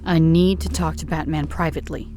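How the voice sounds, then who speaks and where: A woman's voice: sits low, steady tone, fairly quick, warm tone, faint music behind, close to an everyday voice. A woman playing a fierce, confrontational young crimefighting heroine in a comic dub. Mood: serious, urgent. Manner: insistent, confrontational.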